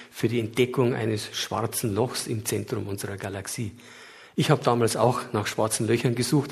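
Middle-aged guy w a bavarian lilt, kinda husky, earnest lecture voice, stops and starts a bit. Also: mid-range voice, darkish tone. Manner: earnest, explanatory, with occasional hesitations. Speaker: guest lecturer. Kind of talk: public lecture.

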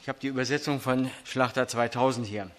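A man's voice: mid-range voice, steady tone, a bit bright. Older guy w a bit of a berlin accent preaching in church, earnest, lots of ums. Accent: light Berlin. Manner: earnest, measured, with frequent hesitations. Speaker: traveling preacher. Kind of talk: sermon with Bible reading. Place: church hall.

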